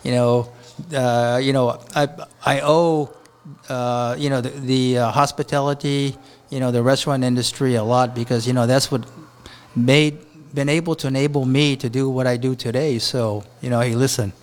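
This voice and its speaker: A man from Japan, a chef and restaurant owner, talks in a rambling, halting way with many restarts during an interview at a beach hotel. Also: medium pitch, steady tone. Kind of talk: casual interview talk.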